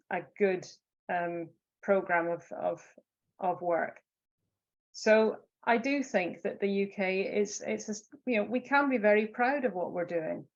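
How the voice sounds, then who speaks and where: A woman speaking as a panelist in a discussion, halting with frequent fillers and restarts. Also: medium pitch, highs cut off.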